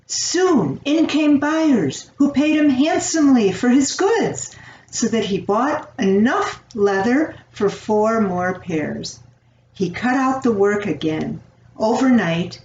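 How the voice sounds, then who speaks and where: Slow-reading older woman in a roomy recording. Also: medium pitch, darkish tone. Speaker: storyteller. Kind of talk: reading a children's fairy tale aloud. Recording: roomy, distant, dull home recording, faint noise.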